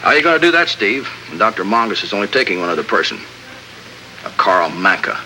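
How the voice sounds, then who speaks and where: An actor playing a steady American lieutenant in a 1950s radio drama, crisp and matter-of-fact. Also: male voice, lowish voice, fast talker, slight background noise, heavily acted, rough recording. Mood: earnest, briefing. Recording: old radio recording, faint music.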